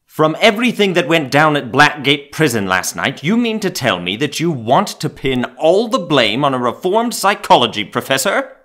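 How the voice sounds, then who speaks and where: Casting: male actor as domineering, combative mayor for audio drama; forceful delivery, animated swings. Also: a little high, normal speed. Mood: indignant. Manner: lecturing, domineering. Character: pompous, combative male big-city mayor. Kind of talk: fan-made audio drama acting.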